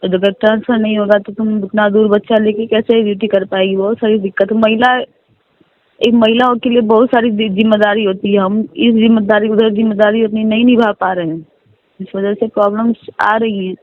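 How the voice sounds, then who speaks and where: A woman's voice: medium pitch, level tone, brisk, dry recording. A woman teacher, tearful and pleading as she airs a grievance in a distressed phone conversation, repeating herself. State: tearful, crying. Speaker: teacher phoning about a transfer grievance. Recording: narrow-band recorded phone call.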